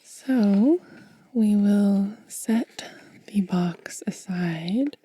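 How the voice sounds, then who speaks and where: Very slow, breathy whispering woman. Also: medium pitch. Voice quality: breathy, mostly unvoiced whisper. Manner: gentle, unhurried, pausing between short phrases. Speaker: ASMR host. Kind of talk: ASMR unboxing, hushed and soft-spoken. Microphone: close ASMR microphone.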